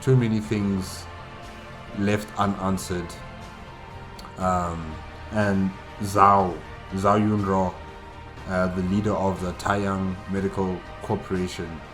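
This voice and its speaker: A man hosting a solo reaction video, talking casually and haltingly, repeating himself for emphasis. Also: sits low, little pitch movement, over music. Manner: halting, repetitive, emphatic about doubts. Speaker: reaction channel host.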